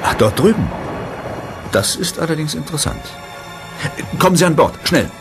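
Brisk, commanding scientist, lively intonation. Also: male voice, on the high side, vocal fry, music in the background. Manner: brisk, authoritative. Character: brisk, commanding male monster-hunting scientist. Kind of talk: German radio play acting. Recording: engine noise behind the voices.

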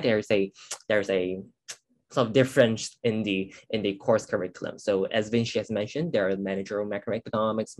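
Young man from Hong Kong, fairly low voice. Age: young adult. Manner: casual, with frequent restarts and fillers. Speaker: student speaker. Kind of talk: admissions info session talk. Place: indoors at home, on a video call.

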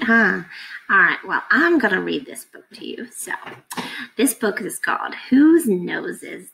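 Thirties woman, teacher reading to children, unhurried, creaky mid-pitched voice. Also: poor audio. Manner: explains simply, asking questions of young listeners. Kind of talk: casual read-aloud lesson for children. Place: indoors at a computer, webcam screen recording.

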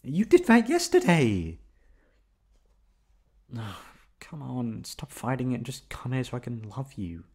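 A man with a mid-pitched voice performs an ASMR boyfriend roleplay. He sounds playful and coaxing, with lively swoops in pitch as he pleads and teases affectionately. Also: slow, long pauses, husky. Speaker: voice actor performing an audio roleplay.

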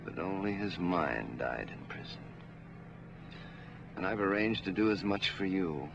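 Menacing 40s noir gangster, american, middle-aged, low creaky voice, cold n threatening, muffled old movie sound. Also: male voice, noisy background. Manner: deliberate, threatening. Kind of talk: 1940s film noir acting. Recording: dull, muffled 1940s film soundtrack.

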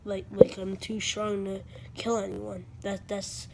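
A woman's voice: mid-range voice, flat delivery, takes it slowly, gravelly, very noisy. A woman voicing a vain, boastful toy character in a toy skit, self-important and defensive. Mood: scared but boastful.

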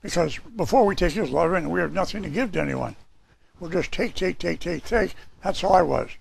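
A man in his sixties with a hoarse, raspy voice, preaching a sermon and reading scripture in a small church hall. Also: high-pitched, brisk, slight background noise. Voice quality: raspy, gravelly. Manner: gesturing, reading scripture aloud from papers. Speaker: pastor.

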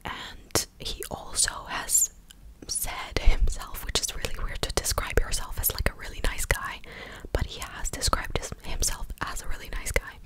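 A young woman speaking in a breathy ASMR whisper close to the microphone, chatting casually and hesitantly as she recaps news. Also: normal speed, low-quality recording. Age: young adult. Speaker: ASMR content creator. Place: small living room, indoors. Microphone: close desktop condenser microphone.

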